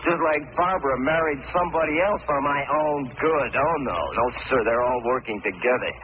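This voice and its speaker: A man's voice: on the high side, a little fast, lightly acted. An actor playing a bitter, self-pitying, paranoid young man in 1940s radio drama style, both narrating and speaking in scenes. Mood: paranoid, insistent. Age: young adult. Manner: wry, agitated, self-pitying. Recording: noisy, muffled old radio recording.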